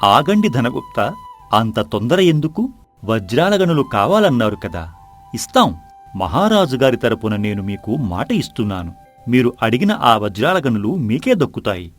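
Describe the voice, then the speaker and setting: A man acts out a shrewd chief minister from an audio folk tale. He is calm, courteous and reassuring, speaking in measured phrases with faint music behind. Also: mid-range voice.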